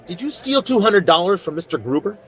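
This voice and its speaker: An actor playing a plainspoken, fair-minded frontier marshal with authority in a radio western. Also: male voice, high-pitched, big pitch swings, fairly quick, breathy edge, some chatter behind, heavily acted, rough recording. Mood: stern, measured. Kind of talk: old-time radio western acting.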